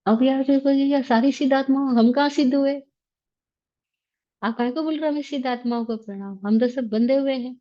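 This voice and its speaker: A woman's voice: high-pitched, brisk, warm tone. A woman teaching a scripture class in a conversational way, asking rhetorical questions and dropping in English phrases. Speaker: Jain religious teacher. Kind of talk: lecture on scripture. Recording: slightly muffled, lo-fi.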